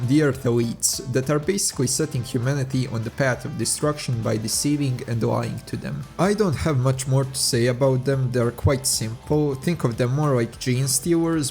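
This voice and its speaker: A man's voice: medium pitch, a little mellow. Man narrating casual commentary over music. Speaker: video essay host.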